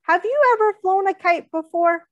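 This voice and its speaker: A woman's voice: very high voice, normal speed, no echo, highs cut off. A young woman reading a picture book aloud to small children, expressively, with simple questions and explanations for her listeners. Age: young adult. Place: small indoor room, seated.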